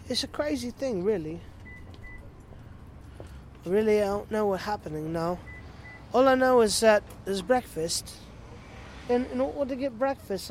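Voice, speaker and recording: A man's voice: high voice, a little hiss or hum. Rambling man with an English-style accent. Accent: put-on sounding English. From Milwaukee, Wisconsin, United States.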